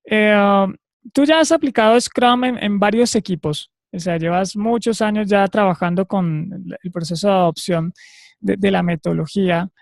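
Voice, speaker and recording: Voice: mid-range voice, moderate pace, gravelly, no room sound, studio quality. A webinar host on a video call asking long, rambling interview questions with repeated words.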